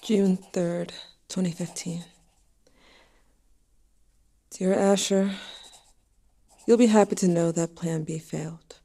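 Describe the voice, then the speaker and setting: Mellow, sardonic teenage girl. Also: on the low side, fairly even intonation, slow pace, long pauses, no echo, studio quality. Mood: reluctant, dry. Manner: sardonic, confessional, bluntly informal. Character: angry, grieving teenage girl writing to her dead sibling. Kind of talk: audio drama diary-letter narration.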